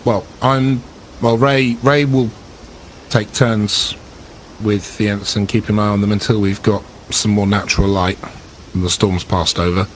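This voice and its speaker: A man's voice: lowish voice, steady tone, a little slow, slight background noise, poor audio. A man playing a tabletop game, talking through his plans casually. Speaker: player.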